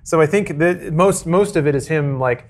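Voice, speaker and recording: A young man co-hosting a podcast, talking casually and eagerly jumping in with his own points. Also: fairly high pitch. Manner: quick, animated, eager to chime in. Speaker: podcast co-host. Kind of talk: casual chat.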